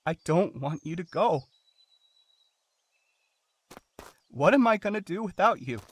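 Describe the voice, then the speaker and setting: An actor playing a grieving, devoted adult son in a game drama, speaking earnestly and vulnerably. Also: male voice, high voice, expressive, a little fast, husky. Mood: anguished. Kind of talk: emotional dramatic game voice acting.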